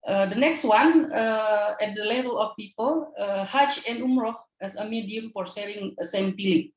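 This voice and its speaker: A woman from Indonesia with an Indonesian accent, giving a halting panel presentation full of hesitations. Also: mid-range voice. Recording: roomy echo.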